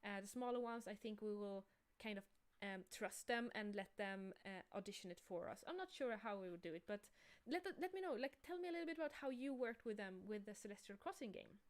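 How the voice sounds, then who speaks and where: A woman co-hosting a livestream, explaining a project informally and haltingly, often correcting herself. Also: mid-range voice, lively intonation. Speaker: livestream co-host and game creator. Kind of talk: casual livestream talk about a project.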